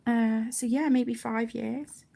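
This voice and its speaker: British woman, highish creaky voice, chatty rambling colouring-stream host, informal, self-interrupting. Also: steady tone, slow pace, dark tone. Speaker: host of an adult colouring channel. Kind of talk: casual live chat while colouring.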